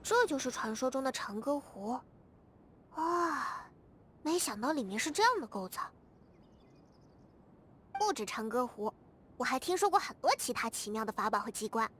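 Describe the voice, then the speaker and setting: A high-pitched, self-assured young girl. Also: expressive, normal speed, long pauses, fairly bright tone, husky, heavily acted. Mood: curious. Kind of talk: scripted video game character dialogue.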